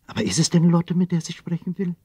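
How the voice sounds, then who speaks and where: A man playing the earnest narrator of a children's radio play, telling the story in a measured, literary way. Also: a little high, mellow, slightly rough, no room sound, clean studio sound.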